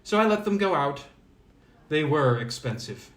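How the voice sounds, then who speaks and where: A man voicing a magical old wise woman from a storybook, brisk, cryptic and commanding. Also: on the high side, big pitch swings, pitched above the performer's own voice. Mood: cryptic, amused. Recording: roomy, echoing sound.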